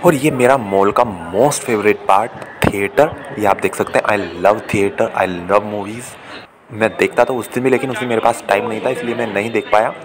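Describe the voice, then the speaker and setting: A man's voice: on the low side, normal speed, crowd noise. Chatty young man touring a mall. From India. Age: young adult. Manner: chatty tour-guide talk mixing in English words. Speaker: vlogger. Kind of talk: casual vlog narration. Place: shopping mall, indoors and out. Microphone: phone camera held at arm's length.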